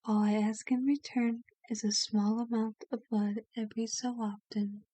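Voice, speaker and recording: A woman playing a kind, genteel vampire in an ASMR roleplay, speaking gently and soothingly with formal wording and a soft, sometimes breathy voice. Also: on the high side, little pitch movement, moderate pace, no echo, natural voice. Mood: calm, candid.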